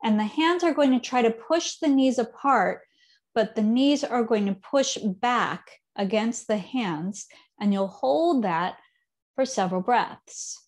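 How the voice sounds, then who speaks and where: Calm woman guiding a yoga class. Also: a little high. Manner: calm, unhurried cueing with soft trailing endings. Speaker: yoga teacher. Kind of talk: guided yoga instruction. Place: living room at home, seated on a chair.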